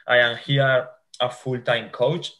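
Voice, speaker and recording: A man's voice: medium pitch, flat delivery, pushed and bright. A man in his forties from Spain, with a Spanish accent, answering interview questions as a futsal coach over a video call, often restarting his sentences. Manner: halting, with many restarts and fillers. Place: home room.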